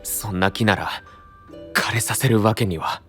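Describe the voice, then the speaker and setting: A man's voice: on the low side, a little slow, fairly bright tone, faint music behind. An actor playing a serious, burdened young male knight, grave and restrained, in a fantasy voice drama. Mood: troubled, pondering.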